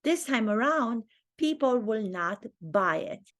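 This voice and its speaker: A woman's voice: fairly high pitch, animated, slow, fairly bright tone. A woman with a Romanian accent, an acting teacher thinking aloud and commenting to camera in her own video. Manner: thinks aloud, earnest and reflective. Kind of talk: talking-head commentary video.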